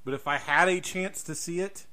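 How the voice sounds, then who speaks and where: A man talks as a podcast host in a casual conversational way. His voice is fairly high for a man, with a bright, forceful edge, and he repeats phrases for emphasis. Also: normal speed.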